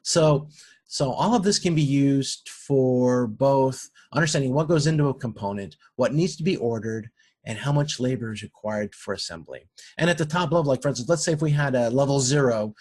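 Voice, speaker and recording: A man with a fairly low-key, husky voice delivers an informal recorded lecture. His pitch sits in the middle range for a male voice. He explains things in a halting manner, repeating and rewording phrases as he goes. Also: a little mellow. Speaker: lecturer in operations management.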